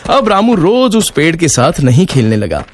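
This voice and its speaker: Lively, slightly high-voiced male storyteller. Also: moderate pace. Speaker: storyteller, narrator. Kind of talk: scripted children's story narration. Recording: faint music bed.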